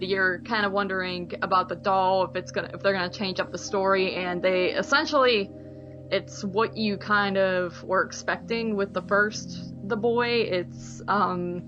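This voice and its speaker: A woman's voice: medium pitch, highs cut off. A woman co-hosting a podcast, giving her take on a movie in an informal, offhand way. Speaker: podcast co-host. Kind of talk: casual movie review chat.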